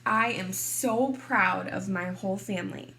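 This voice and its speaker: A woman reading a picture book aloud expressively to kindergarteners, in a deliberate teacherly way. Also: medium pitch, bright, forceful tone, rough recording. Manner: deliberate, clearly addressed to small children.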